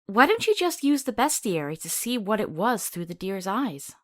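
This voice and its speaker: A woman's voice: medium pitch, sing-song, moderate pace, fairly bright tone. An actress playing a sardonic, practical woman who travels as a companion in a fantasy visual novel, with a wry manner.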